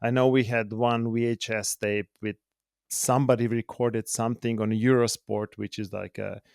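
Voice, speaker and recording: A man's voice: medium pitch, flat delivery, moderate pace, vocal fry, a little mellow, clean tone, no echo, clean studio sound. A man from Croatia with a Croatian accent, calling in remotely and casually telling his life story as an interview guest. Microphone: remote call.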